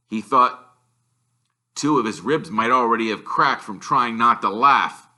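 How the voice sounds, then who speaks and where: A man's voice: medium pitch, bright, forceful tone. A man reading a children's novel aloud as a teacher would, in storybook narration.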